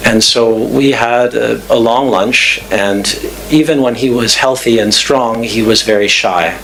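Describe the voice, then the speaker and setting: A man giving thoughtful, measured answers at a talk's question session, pausing with fillers and restarts. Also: mid-range voice, creaky, a bit bright, slight background noise, rough recording. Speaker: guest speaker. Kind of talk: lecture Q&A answers. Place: club event room.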